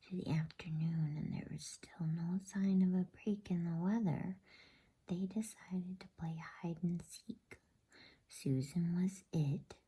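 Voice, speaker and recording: A woman reading a children's story aloud in a soft, hushed ASMR whisper, slow and soothing. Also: fairly low pitch, breathy edge.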